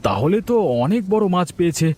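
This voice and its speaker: A man voicing a doubtful, grumbling village fisherman with a plaintive manner in an animated story. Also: high-pitched, fast. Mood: doubtful, wistful.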